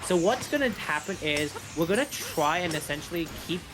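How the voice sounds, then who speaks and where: A man's voice: fairly high pitch, lively intonation, a little fast. A man giving live, explanatory speedrun commentary, rambling a little and rephrasing as he goes. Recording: game music behind the voice. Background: video game music.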